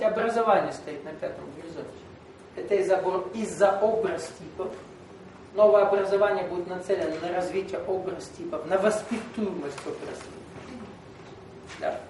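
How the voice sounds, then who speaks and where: High-voiced man lecturing in an echoey hall. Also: a little mellow. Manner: explaining, lecturing with rhetorical questions. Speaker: spiritual teacher. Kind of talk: lecture to a seated group. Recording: echoey hall, recorded from a distance with faint noise.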